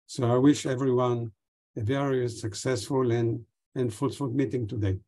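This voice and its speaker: An older man from Israel gives formal opening remarks on a video call, with hesitant pauses. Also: medium pitch, fairly even intonation, mellow. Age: older, 60s or above. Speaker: fintech expert giving opening remarks.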